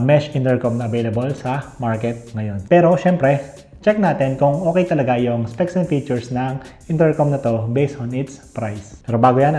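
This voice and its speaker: A man's voice: mid-range voice, warm tone. A man with a Filipino accent giving a casual, conversational product review as a channel host, often tagging his phrases with little check-in words. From Philippines. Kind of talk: casual product review and unboxing, mixing Tagalog and English.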